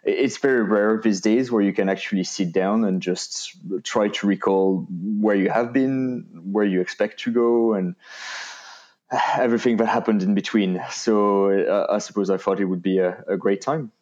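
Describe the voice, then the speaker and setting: A man from France speaks with a French accent in a very low voice, as a podcast guest telling his story. He stops and restarts often, searching for words. The sound is muffled and lo-fi. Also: steady tone, moderate pace, darkish tone. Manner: hesitant, many restarts and fillers. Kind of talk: casual interview talk. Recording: dull lo-fi sound.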